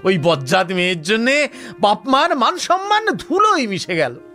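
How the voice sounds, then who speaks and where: Cocksure police officer, swooping animated voice. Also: male voice, sits high, moderate pace, faint music behind, full character voice. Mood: pitying. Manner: colloquial, scoffing, rhetorical tags. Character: Anishchay, talkative cocksure male police officer, smug and sardonic. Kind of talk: Bengali audio story acting, conversational.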